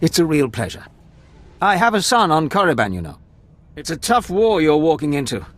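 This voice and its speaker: A man playing an affable, decisive army major in a video game, with an animated, musing manner. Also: on the high side, normal speed. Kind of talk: video game cutscene acting.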